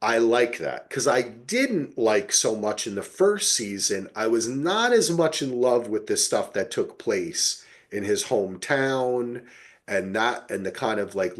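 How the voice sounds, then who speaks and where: A man with a fairly low voice hosts a casual review podcast. He speaks informally and with enthusiasm, dropping slang as he goes. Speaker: podcast host.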